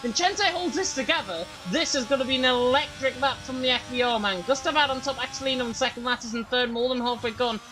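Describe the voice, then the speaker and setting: A man calling a sim racing qualifying session, his voice a little croaky from being unwell. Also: very high voice, music in the background, low-quality recording. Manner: fast, excited play-by-play. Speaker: lead commentator.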